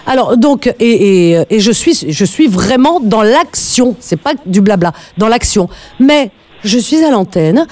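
A woman's voice: on the high side, lively intonation, a little slow, a little mellow. A woman hosting a live radio call-in, speaking in an earnest, emphatic way and repeating phrases for emphasis.

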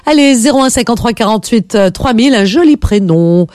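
A man with a high voice hosts a radio phone-in from a dry studio, speaking into a studio mic. He sounds chatty and keeps encouraging his callers. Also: lively intonation, fairly quick, darkish tone, dry recording. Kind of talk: casual phone-in chat.

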